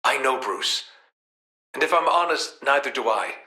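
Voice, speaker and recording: A man voicing a powerful superhero in a video game, speaking in an earnest, deliberate manner. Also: medium pitch, expressive, pushed and bright, breathy edge, dry recording, full character voice. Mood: earnest, serious. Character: powerful male superhero, once kindly, now menacing. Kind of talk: acted video game voice lines.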